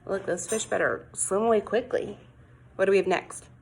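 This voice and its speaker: A woman teacher reading a picture book aloud to young children, expressive and sing-song, coaxing with questions and exclamations. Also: mid-range voice, moderate pace, a little hiss or hum, poor audio.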